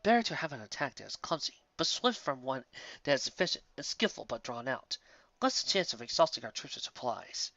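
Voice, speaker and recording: A man voicing a calm antelope chief, a low-key tactician who speaks deliberately. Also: gravelly, no echo, dull audio, exaggerated voice. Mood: approving.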